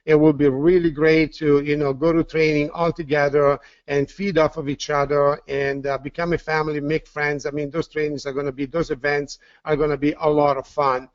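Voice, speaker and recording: A man's voice: a little high, level tone, normal speed, hardly any pauses, no echo. A man with an Italian accent presenting a sales webinar, upbeat and repeating words with "uh" fillers. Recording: dull, dry lo-fi audio.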